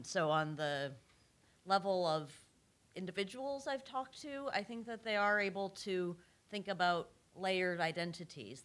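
A woman speaking as a panelist at a policy discussion in a conference room, measured and reflective, with frequent fillers. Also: low voice, fairly bright tone. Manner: measured, many fillers and hedges. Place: large conference room with audience.

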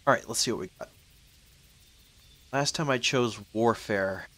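A man's voice: mid-range voice, a little slow. A young man of twenty-one talking through a game he plays, rambling and chatty, cursing now and then at what happens on screen. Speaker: gaming channel host. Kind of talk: casual let's-play commentary. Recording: faint background noise, voice drops out when soft.

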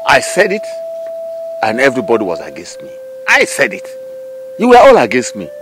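A man's voice: mid-range voice, big pitch swings, fairly quick, lightly acted, low-quality recording. Bitter old Nigerian father man acting, music behind. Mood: bitter reproach. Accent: Nigerian, Igbo. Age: older. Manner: emphatic, repeats himself reproachfully. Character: bitter, scolding old Igbo father blaming his wife. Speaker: actor. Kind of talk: film drama acting.

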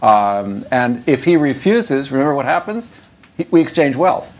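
An older man giving an academic lecture. He talks in a loose, conversational way, drifting into asides and dry jokes at a medium pitch. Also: sing-song, moderate pace. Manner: conversational, digressive, wry asides.

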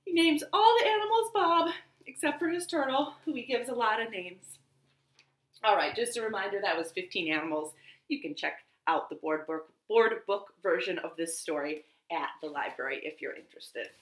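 A young woman hosting a children's storytime at home, reading aloud and chatting to young children in an animated, deliberate way. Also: mid-range voice. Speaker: library storytime host and reader. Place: small living room at home, indoors. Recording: roomy living-room echo.

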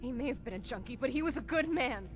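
An actress playing a tough, grieving young vault mechanic in a video game, speaking bluntly and emotionally. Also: female voice, high voice, expressive, quick pace, over music. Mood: bitter, angry. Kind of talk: video game character acting.

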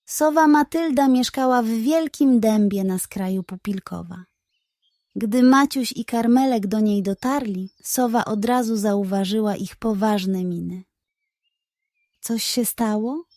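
A woman's voice: on the high side, measured pace, a little mellow, no room sound, clean studio sound. A woman narrating a scripted children's bedtime story, telling it carefully and expressively. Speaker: narrator of children's audio stories.

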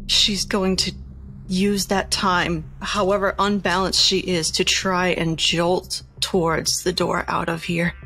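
Slow-paced woman playing a tabletop game. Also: lowish voice, level tone, pushed and bright, faint music behind, low-quality recording. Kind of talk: describing her character's actions in third person.

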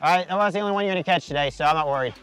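A man's voice: a little high, very fast, a bit bright, soft background music, low-quality recording. A man fishing outdoors by a pond, trading cocky, teasing banter. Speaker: angler competitor. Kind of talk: casual banter.

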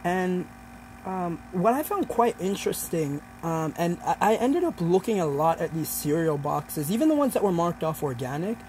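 A man's voice: high-pitched, sing-song, moderate pace, darkish tone, a little hiss or hum, low-quality recording. A young man giving an informal, explanatory student presentation in a hesitant way, feeling out his points as he talks. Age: young adult.

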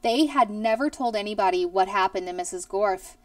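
A woman in her thirties reading a children's story aloud expressively, in a deliberate storyteller's manner. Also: mid-range voice, darkish tone, no echo, recorded from a distance. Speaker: teacher reading aloud to pupils. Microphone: headset boom mic.

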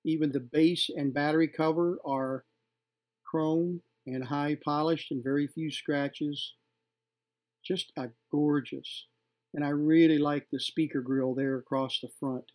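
A middle-aged man presenting from home, showing vintage gear in an unscripted, halting, informal and appreciative way. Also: fairly high pitch, fairly even intonation, a little mellow. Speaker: vintage tape recorder collector and presenter. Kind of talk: unscripted hands-on demonstration.

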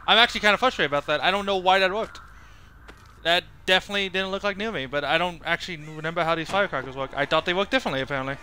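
Higher-voiced man muttering over game noise. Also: very fast, pushed and bright. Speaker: game streamer. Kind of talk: casual live gameplay commentary. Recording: clear game noise behind voice. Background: horror game sound effects and ambience.

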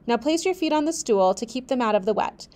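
A woman reading aloud, voicing a brusque, commanding adult gentleman who barks orders. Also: a little high, lively intonation, fairly quick, close to an everyday voice.

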